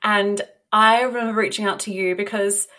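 A woman's voice: medium pitch, fairly even intonation, moderate pace, bright, forceful tone. Chatty, effusive woman podcast host. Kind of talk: podcast introduction and interview chat.

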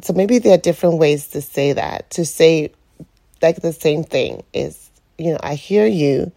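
A middle-aged woman with a croaky morning voice, speaking in a rambling, reflective way on an informal solo podcast. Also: low voice, unhurried, dark tone. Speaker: podcast host.

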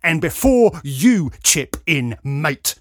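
Man acting a rasping, snarling aggressive lout. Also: a little high, sing-song, takes it slowly, a bit bright, dry recording, studio quality, voice pushed up, full character voice. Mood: menacing. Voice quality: rasping, growling. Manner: belligerent, snarling. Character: aggressive rasping middle-aged male lout, snarling bully.

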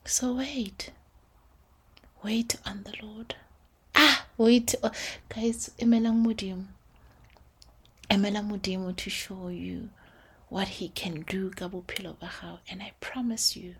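A woman hosting a podcast, speaking softly and calmly in a reflective faith talk, slipping between English and Sesotho phrases. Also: mid-range voice, unhurried, long pauses, husky. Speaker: podcast host.

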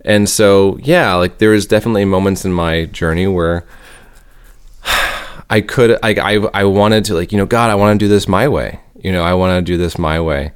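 A young man co-hosting a faith podcast, speaking reflectively and haltingly, with frequent restarts. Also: low voice, smooth, no room sound, studio quality. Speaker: podcast co-host. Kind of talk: casual chat.

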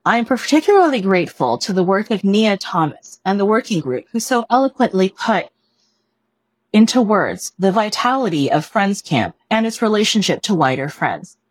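A woman reading a written report aloud as scripted narration, in a measured, formal manner. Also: mid-range voice, very animated, dry recording, poor audio. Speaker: reader of a written staff report.

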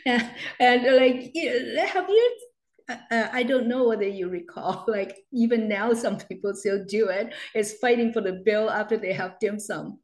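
A woman's voice: fairly high pitch, normal speed, a little mellow, echoey room. Laughing woman. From Hong Kong. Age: 50s. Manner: chatty, laughs often, acts out quoted voices. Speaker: guest. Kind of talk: casual interview chat.

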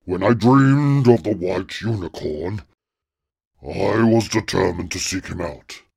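A man voicing a stately, commanding troll king who speaks slowly and gravely. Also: deep voice, darkish tone, no room sound, heavily acted. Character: deep-voiced regal troll king, commanding.

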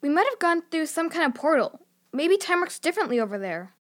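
A woman's voice: very high voice, big pitch swings, brisk. A female actor playing a sensible, curious young girl in a children's audio drama, earnest and frank in manner. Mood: reasoning.

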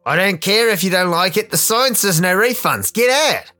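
A man's voice: sits high, animated, normal speed, bright, forceful tone, soft background music, pitched above the performer's own voice. A man voicing a stubborn, combative female slum chef in a radio play. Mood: angry.

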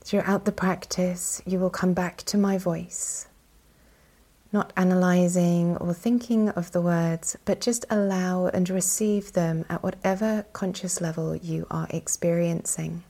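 A woman leading a guided yoga nidra meditation as instructor, calm and soothing, with a soft, breathy voice and long pauses. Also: lowish voice.

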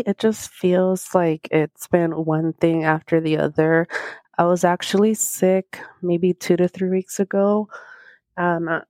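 A woman's voice: fairly low pitch, warm tone, no room sound. Scratchy-voiced woman chatting casually. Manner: chatty, rambling, filler-heavy storytelling. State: scratchy voice from recovering illness. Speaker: podcast host. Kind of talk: casual solo podcast chat. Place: small quiet office, alone. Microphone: small handheld microphone.

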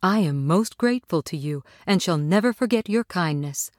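A woman's voice: fairly low pitch, expressive, moderate pace, no room sound, studio quality, close to an everyday voice. A woman voicing a tender-hearted, tearful man made of tin in a storybook. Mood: hopeful, grateful.

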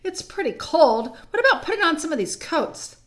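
A woman's voice: very high-pitched, sing-song, moderate pace, pitched above the performer's own voice. A woman voicing a sensible, slightly fussy older schoolgirl sister in a children's storybook, proper and practical.

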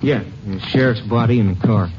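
A man's voice: lowish voice, moderate pace, natural voice. An actor playing a skeptical, defensive small-town lawman in a 1950s radio western. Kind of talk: 1950s radio drama acting. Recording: muffled, noisy old 1950s radio recording.

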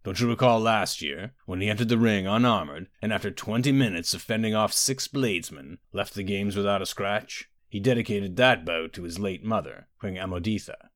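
A man's voice: fairly low pitch, moderate pace, hardly any pauses, fairly bright tone, no echo, studio quality, close to an everyday voice. A man voicing an enormous, genial and loyal warlord who addresses royalty with jovial deference in an audio storybook. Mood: amused, admiring.

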